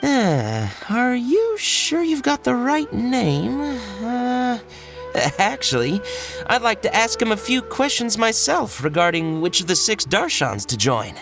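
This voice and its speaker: A woman's voice: on the high side, very animated, slow pace, over music, exaggerated voice. A woman voicing a helpful young village woman in a game, hesitant and polite. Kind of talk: game voice acting.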